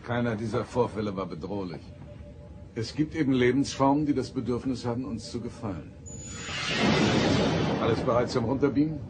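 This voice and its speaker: An actor playing an authoritative, probing starship captain in a sci-fi audio drama, formal, measured and commanding. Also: male voice, mid-range voice, over music, close to an everyday voice. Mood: curious, composed.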